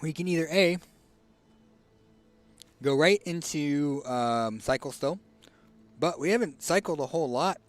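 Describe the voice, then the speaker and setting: A man with a raspy, hoarse voice casually commenting on a card game as he picks cards, thinking aloud with fillers and restarts. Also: on the high side, measured pace, long pauses, dry recording. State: hoarse, tickly throat. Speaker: streamer.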